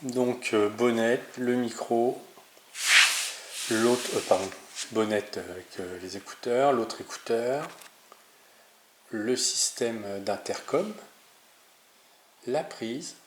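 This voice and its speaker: A man's voice: medium pitch, slow pace, pauses often. Guy doing a casual diy how-to, kinda hesitant and improvised, echoey room w some noise. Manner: hesitant, improvised, frequent hesitations and self-corrections. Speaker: DIY tutorial host on a sailing channel. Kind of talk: informal hands-on tutorial narration. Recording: roomy echo, clear background noise, clicks.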